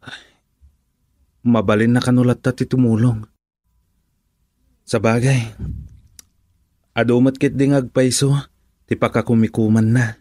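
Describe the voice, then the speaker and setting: A man's voice: mid-range voice, little pitch movement, unhurried, long pauses, dark tone, no echo, studio quality, natural voice. An actor playing a humble, hesitant farmer and father in an Ilocano radio drama. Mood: thoughtful.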